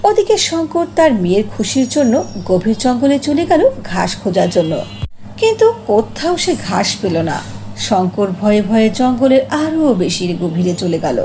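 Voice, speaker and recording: A woman storyteller reads a scripted fairy tale in a mellow, fairly high voice. Her intonation is lively, and there is faint noise behind her. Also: moderate pace.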